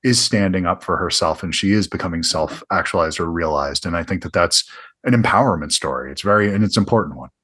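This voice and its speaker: A man's voice: low voice, quick pace, fairly bright tone, breathy edge. A man interviewing a filmmaker in a thoughtful, reflective way, often restarting his sentences. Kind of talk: conversational interview.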